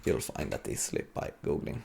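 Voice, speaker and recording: A man giving an informal, explanatory live coding talk as a conference speaker, with a gravelly, husky voice. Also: brisk, darkish tone.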